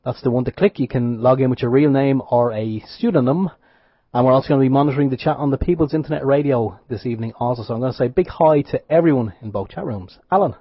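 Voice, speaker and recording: A man's voice: mid-range voice, fast talker, breathy edge, no room sound. A man co-hosting an internet radio show, reading out announcements in a casual, good-humoured way and correcting himself as he goes. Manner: self-correcting, good-humoured, running through details. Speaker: radio show co-host and producer. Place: radio studio. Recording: dull lo-fi sound, dry studio.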